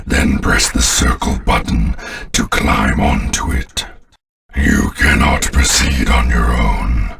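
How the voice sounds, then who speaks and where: Game villain: alien commander, extremely low and slow, imperious, scornful of humans. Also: male voice, fairly bright tone, far from the mic, slight background noise, heavily acted. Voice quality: very deep, gravelly. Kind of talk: video game villain voice lines.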